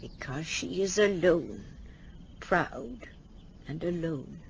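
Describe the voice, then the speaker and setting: An actress playing a tender but stern old woman mentor in an audio drama, measured and hushed, with dramatic pauses. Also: low voice, lively intonation, gravelly, a little hiss or hum, exaggerated voice. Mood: wistful. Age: older.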